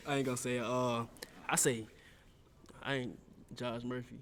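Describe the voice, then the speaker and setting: A man's voice: medium pitch, little pitch movement, unhurried, slight background noise. A young man, a college football player, talking in a laid-back, slangy way in a casual interview. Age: young adult.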